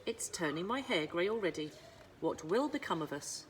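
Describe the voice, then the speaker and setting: A woman's voice: fairly low pitch, expressive, moderate pace, a little hiss or hum, lightly acted. A woman reading a storybook and voicing an exasperated, dismayed husband with dramatic exclamations. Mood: weary worry. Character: exasperated married man, dismayed by monstrous changing child.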